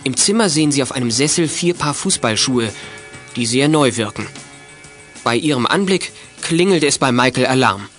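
A man's voice: mid-range voice, animated, moderate pace, fairly bright tone, soft background music, lightly acted. A man narrating a children's detective radio play with scripted, dramatic storytelling.

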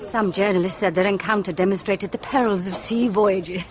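An actress playing an outspoken young woman doctor with an English accent in a radio drama, forthright and expressive. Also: medium pitch, moderate pace, some chatter behind, close to an everyday voice. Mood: amused, chatty.

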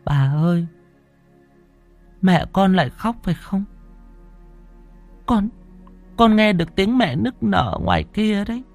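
A man's voice: sits high, very animated, takes it slowly, gravelly, pauses often, soft background music, pitched above the performer's own voice, exaggerated voice. A man voicing a frail, sick little boy who speaks in a faint, pleading whisper. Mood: tender.

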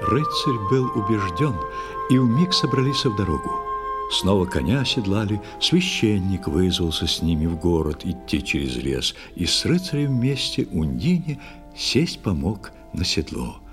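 A man's voice: lowish voice, very animated, measured pace, over music. A man narrating a poetic tale for children as theatrical, scripted verse recitation.

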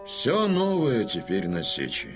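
An actor playing a stern, proud old Cossack chieftain in a radio drama, declaiming mournfully, moving between hushed and loud delivery. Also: male voice, fairly low pitch, huge pitch swings, measured pace, music in the background. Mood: bitter mourning. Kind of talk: theatrical radio drama monologue.

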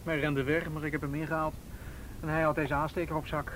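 An actor playing a brisk, sceptical policeman in a radio play, commanding and probing with dry sarcasm. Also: a little high, little pitch movement, fairly bright tone, natural voice. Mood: politely probing. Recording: faintly noisy old radio play recording.

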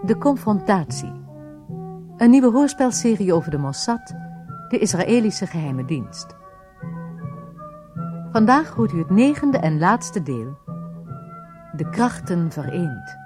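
Slow, dramatic female announcer over music. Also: mid-range voice, big pitch swings. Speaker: radio serial announcer.

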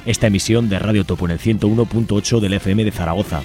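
A man's voice: lowish voice, fast talker, a little mellow. A man with an accent from Spain, informally co-hosting a community radio show from a studio. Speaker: radio co-host. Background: music.

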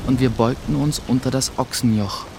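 An actor playing a young, guarded mill apprentice who narrates a radio play in a reflective, restrained way. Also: male voice, medium pitch, fairly even intonation, normal speed, creaky, heavy background noise, natural voice. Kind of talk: scripted first-person narration in a German radio play. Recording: music behind the voice.

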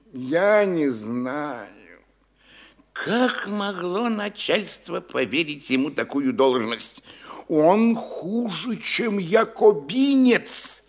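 An actor playing a fawning, sly official who gossips and tells tales in an obsequious way in a classic radio play. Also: male voice, medium pitch. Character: fawning middle-aged male official, sly telltale.

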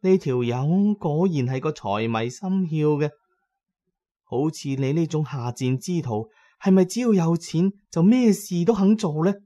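A man's voice: fairly high pitch, measured pace, warm tone, voice pushed up, close to an everyday voice. Man voicing a sneering undead bride. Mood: cold scorn. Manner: sneering, accusing. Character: cold, scornful female corpse, menacing undead bride. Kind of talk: dramatised horror storytelling.

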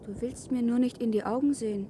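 Woman acting curious teenage girl, higher voice. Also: steady tone, a little fast, warm tone, slight background noise, lightly acted. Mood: suspicious. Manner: direct, probing, naive. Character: curious, distrustful fifteen-year-old wild girl. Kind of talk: German science-fiction radio drama acting.

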